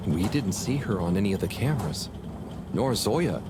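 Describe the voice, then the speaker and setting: A man's voice: fairly low pitch, sing-song, moderate pace, heavy background noise. An actor playing a good-natured, slightly awkward man on a rescue team in a video game. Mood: concerned. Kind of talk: scripted video game dialogue.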